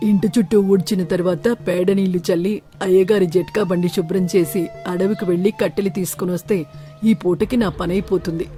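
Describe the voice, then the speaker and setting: A woman voicing a dutiful young servant boy in an animated story, thinking aloud in a subdued, matter-of-fact way. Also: on the low side, normal speed, faint music behind, lightly acted. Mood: resigned.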